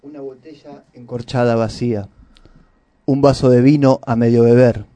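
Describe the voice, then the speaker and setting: Mellow Argentine man reading on radio. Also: medium pitch, fairly even intonation, normal speed. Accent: Rioplatense Argentine. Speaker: radio presenter. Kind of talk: literary reading and monologue for a radio show.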